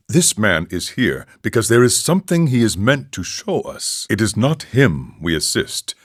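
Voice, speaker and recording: A man voicing an older, serene and wry wandering ascetic monk in a video game, speaking in a solemn, measured, philosophical way. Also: low-pitched, a bit bright, exaggerated voice. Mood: grave, reflective. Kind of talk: scripted game character dialogue.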